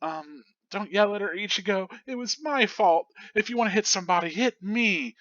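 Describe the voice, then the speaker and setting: A man voicing a whiny, mischievous male plush-toy spirit with a shrill, squealing voice. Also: normal speed, a bit bright, no room sound, muffled recording. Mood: whining.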